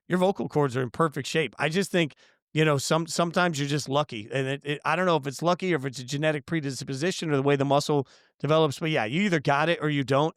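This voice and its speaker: A man appearing as a guest on a sports podcast, telling animated stories full of fillers. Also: a little high, no room sound, clean studio sound. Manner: animated storyteller, lots of fillers. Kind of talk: casual interview storytelling.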